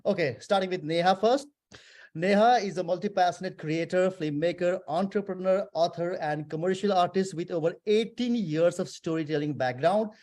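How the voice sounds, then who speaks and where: Man, high voice, playful host, teasing questions, casual interview. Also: normal speed, darkish tone. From Nepal.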